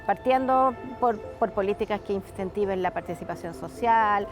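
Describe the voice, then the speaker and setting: A woman's voice: mid-range voice, moderate pace, music in the background. A woman explaining her view in an interview, hesitant and often adding tag questions. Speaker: expert interviewee.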